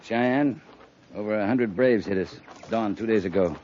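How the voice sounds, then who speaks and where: A man's voice: on the low side, normal speed, darkish tone, natural voice. An American man playing a weary, dutiful cavalry captain, respectful but blunt, in a 1950s radio drama. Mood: grave, tired. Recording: muffled, noisy 1950s radio recording.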